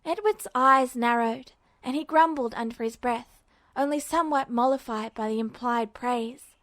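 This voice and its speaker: A woman reads a story aloud in a bright, high voice, with steady and attentive narration. The recording is dry and close, with no echo. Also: normal speed. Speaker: podfic reader. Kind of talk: reading fan fiction aloud.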